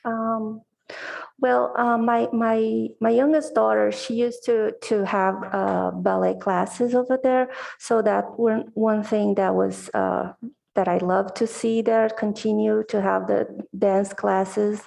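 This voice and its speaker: Hesitant Brazilian woman, slow and creaky. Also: mid-range voice, steady tone, a little mellow, poor audio. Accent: Brazilian Portuguese. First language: Portuguese. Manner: hesitant, many fillers and restarts. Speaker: commission applicant. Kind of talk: nervous interview answers. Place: video call. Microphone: video call mic.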